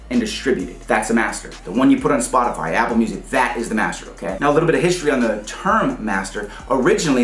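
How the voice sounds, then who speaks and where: A man in his thirties talks quickly and emphatically, like a channel host explaining things casually. His phrasing is slangy and he restates points to make them clear. The room adds noticeable echo. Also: medium pitch. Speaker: host of a music business channel for rappers. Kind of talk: casual explainer talk to camera. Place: small home studio room, indoors. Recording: roomy indoor echo.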